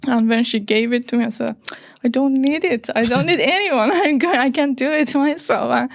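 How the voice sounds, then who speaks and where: A woman in her thirties from Iran, a native Persian speaker, talking in a hesitant, halting way with many fillers as an interview guest. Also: sits high, dry recording, studio quality. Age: 30s. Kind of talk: casual interview conversation.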